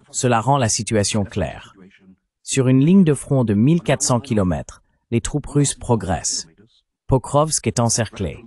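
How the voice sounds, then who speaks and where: A man's voice: medium pitch, warm tone. An AI male voice reading a translated voice-over of a journalist giving political analysis as an interview guest. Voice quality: synthetic AI voice.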